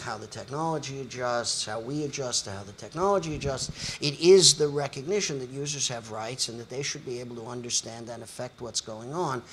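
A man's voice: mid-range voice, a bit bright, a little hiss or hum. An older man moderating a panel discussion in a lecture hall, speaking in long, articulate, lecturing sentences.

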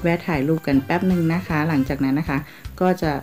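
Low-voiced Thai woman vlogging from a city. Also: steady tone, fast, faint music behind. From Thailand. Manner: polite, with frequent soft sentence endings. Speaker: travel vlogger. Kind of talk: chatty travel narration. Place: outdoors in a city, partly on a tram.

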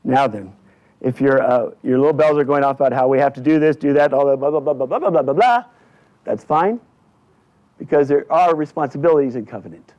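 A male pastor delivering a church sermon in deliberate, emphatic short phrases with repetition. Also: medium pitch, darkish tone. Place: indoors in a church at a lectern. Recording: dull, slightly lo-fi church recording.

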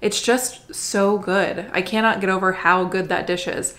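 A woman's voice: medium pitch, steady tone, measured pace, a bit bright. A woman in her thirties from Florida, co-hosting a vlog from her living room couch and gushing about restaurant food in casual chat. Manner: enthusiastic, gushing food descriptions with frequent likes. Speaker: vlog co-host.

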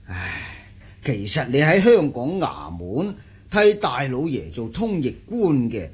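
Radio drama businessman: defeated yet probing, plaintive, very raspy voice with wide swings. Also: medium pitch, huge pitch swings, slow, heavily acted. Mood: dejected. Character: downcast, wheedling businessman fallen on hard times. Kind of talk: Cantonese radio drama acting.

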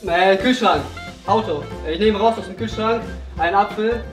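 A man's voice: high voice, moderate pace. A man in his twenties chatting casually as a player in a party guessing game. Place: indoor home cinema room. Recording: echoey room, distant mic, music.

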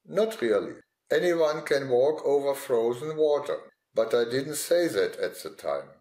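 A man's voice: mid-range voice, very animated, a little slow, darkish tone, echoey room. A man giving a scripted first-person reminiscence as a witness looking back. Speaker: witness recalling past experience.

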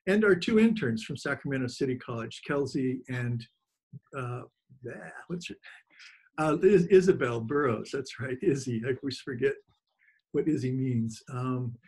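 A man with a middle-range voice hosts an informal online gathering. He speaks haltingly and appreciatively, moving between thanks and practical announcements over a video call. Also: mid-range voice, darkish tone, husky. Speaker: host of an online book reading, press editor.

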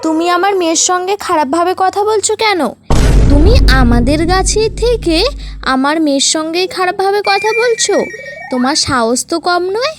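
A woman voicing a hot-tempered village mother in a cartoon, scolding in a sharp manner. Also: very high-pitched, animated, moderate pace. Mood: angry, threatening. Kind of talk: cartoon voice acting.

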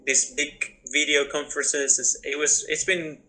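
A man's voice: on the high side, little pitch movement, sharp tone, rough recording. A young man, answering interview questions conversationally on a video call, often repeating and rephrasing as he goes. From Spain. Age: young adult. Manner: halting, repeats and rephrases, frequent "like". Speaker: interviewee, business owner. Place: indoors in a home room. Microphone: laptop on a video call.

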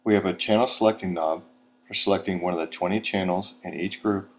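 Low-pitched man narrating an instructional video. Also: steady tone, a little fast, a little hiss or hum. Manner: plain, procedural, step-by-step.

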